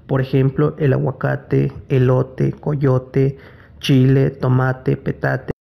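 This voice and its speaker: A man from Mexico whose first language is Nahuatl, reading a language lesson in a measured, deliberate way. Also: medium pitch, completely flat delivery, vocal fry, highs cut off. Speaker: language teacher.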